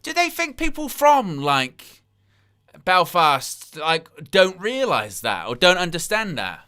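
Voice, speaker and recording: A man's voice: sits high, wildly swooping pitch, slow, sharp tone, smooth. A man hosting a live wrestling watchalong, rambling through incredulous asides and reading chat comments aloud between plugs for likes. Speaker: wrestling livestream host.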